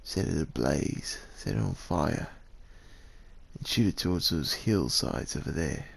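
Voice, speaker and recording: Man voicing a very deep, gravelly dragon lover. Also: animated, unhurried, heavily acted. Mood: earnest, tender. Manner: tender, earnest, pleading. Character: devoted male dragon lover, tender and sorrowful. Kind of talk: intimate ASMR roleplay.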